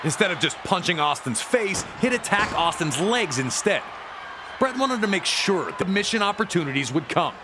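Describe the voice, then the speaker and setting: A man's voice: high voice, sing-song, moderate pace, noisy background. A man giving dramatic, emphatic scripted narration of wrestling matches.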